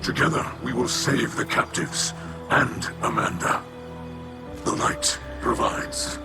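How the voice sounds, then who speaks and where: A solemn alien chieftain for a video game, earnest and reverent. He talks very slowly and formally in a medium-pitched male voice, with clear music behind him. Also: lively intonation. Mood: resolute. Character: noble, earnest male alien warrior leader, solemn and devout. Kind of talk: video game cutscene dialogue.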